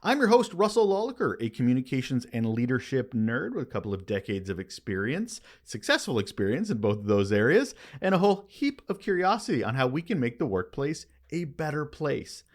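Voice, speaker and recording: A man hosting a podcast, delivering a conversational scripted monologue with emphatic, expressive phrasing and dramatic pauses. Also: medium pitch. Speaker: podcast host.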